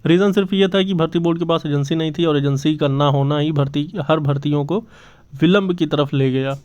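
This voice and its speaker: A man's voice: a little high, fairly quick, a little mellow. A man hosting his channel's job exam news update, talking earnestly and repeating himself with many fillers. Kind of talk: casual news update to camera. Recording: slightly dull lo-fi audio.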